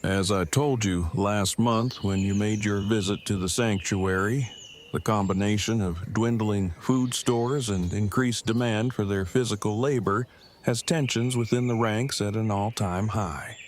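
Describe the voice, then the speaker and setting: A man's voice: on the low side, steady tone, unhurried, a little hiss or hum, close to an everyday voice. A man playing a formal, wordy survivor in an audio drama, with stilted, pedantic phrasing. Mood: matter-of-fact. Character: formal, wordy, earnest male survivor overseer.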